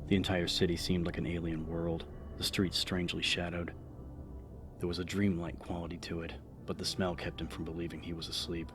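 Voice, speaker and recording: A man with a very low voice narrates a horror story in a dramatic, scripted style. His delivery stays narrow in range, set over clear background ambience and noise. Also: little pitch movement, a little fast. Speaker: audiobook narrator.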